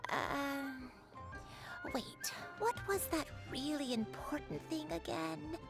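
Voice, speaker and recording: A woman's voice: sits high, takes it slowly, gravelly, music in the background, heavily acted. A woman voicing a forgetful, childlike granny who looks young, wandering and dreamy, in a game. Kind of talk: game voice acting.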